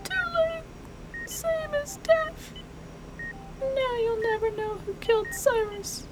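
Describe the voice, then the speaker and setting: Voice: extremely high pitch, takes it slowly, slight background noise, poor audio. An actor voicing a telepathic unborn baby prodigy in a radio play, frantic and imperious, speaking in clipped, urgent fragments. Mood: bitter, defeated. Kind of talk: radio drama acting.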